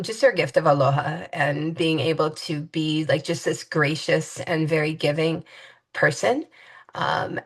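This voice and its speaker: A woman in her fifties from Hawaii, an interview guest recalling her family in a reflective, hesitant way, with many fillers and restarts. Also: sits low. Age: 50s.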